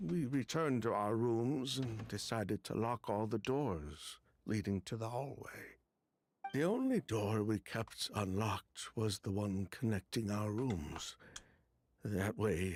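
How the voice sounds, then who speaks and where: An actor as an anxious, defensive man, slow and halting. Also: lowish voice, a little hiss or hum, lightly acted. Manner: halting, stammering. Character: anxious, defensive man hiding a family secret. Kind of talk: video game voice acting.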